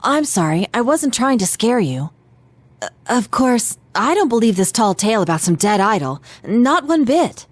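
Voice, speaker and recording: Formal young detective, lively intonation. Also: female voice, on the high side, moderate pace, dry recording. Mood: flustered. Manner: polite, precise, formal diction. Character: composed, formal teenage detective, analytical and earnest. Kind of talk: video game voice acting.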